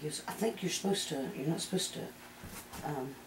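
A woman chats casually while working, her voice low and creaky. She is some way from the mic in an echoey, noisy room. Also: fairly quick. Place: indoor kitchen. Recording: noisy, echoey kitchen, distant camera mic.

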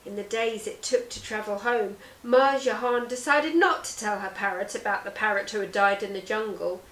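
A woman in her thirties, a teacher reading a children's story aloud with deliberate, theatrical phrasing. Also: medium pitch, steady tone, a bit bright, recorded from a distance. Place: living room sofa, indoors. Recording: roomy indoor echo.

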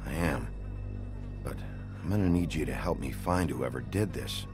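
An actor playing a brooding, hard-edged detective in a video game drama, terse, measured and gruff. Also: male voice, extremely low pitch. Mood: grim, sympathetic. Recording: game audio with music underneath.

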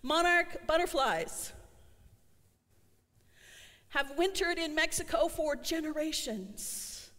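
A middle-aged woman pastor delivering an earnest sermon, slowing down to stress her refrains, recorded in a church sanctuary. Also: high voice, expressive, a bit bright. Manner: earnest, slows for emphasis on refrains. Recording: long church hall reverb.

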